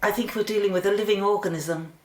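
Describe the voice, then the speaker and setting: A woman acting a brisk, focused research scientist giving instructions in a radio play. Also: mid-range voice, steady tone, darkish tone, echoey room, slight background noise, natural voice. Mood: intrigued.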